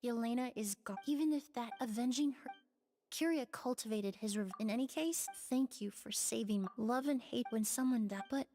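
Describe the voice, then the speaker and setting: A poised young woman from a fantasy video game, played by an actress. She speaks softly in a slightly high voice, with scripted composure, and there is clear noise behind her lines. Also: moderate pace, close to an everyday voice. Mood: composed, earnest.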